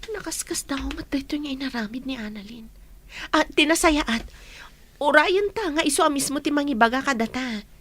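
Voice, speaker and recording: Nosy jealous young bestie in radio drama, voice a bit high. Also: female voice, expressive, normal speed, a bit bright. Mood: guarded. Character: young woman, probing best friend hiding jealousy.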